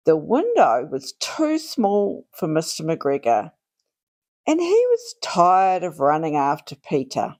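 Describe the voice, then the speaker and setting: A woman reading a children's storybook aloud in an expressive, deliberate way, drawing out her phrases for young listeners. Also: sits low. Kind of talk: read-aloud storybook narration.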